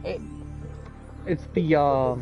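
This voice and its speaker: A man's voice: on the high side, slow pace, highs cut off, faint music behind. A man streaming a game and chatting casually with friends, often stumbling and repeating his words. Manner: stammers and repeats words, lots of fillers. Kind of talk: casual live-stream chat with party friends.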